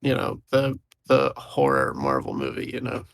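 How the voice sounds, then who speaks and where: A man's voice: sing-song, normal speed, gravelly, a bit bright. A young man co-hosting a casual podcast, stuffy and sniffly with allergies, talking in a hesitant, hedging way. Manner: hesitant, hedging, low-key. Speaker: podcast co-host. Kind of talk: casual film review chat.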